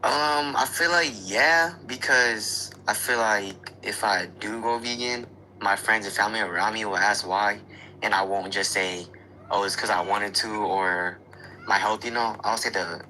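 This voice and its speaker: A man with a low-pitched but strikingly bright, edgy voice talks as a guest on a live online chat. He is agreeable and a little hesitant, with many ums. A faint noise runs behind him. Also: normal speed, low-quality recording. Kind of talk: casual conversation.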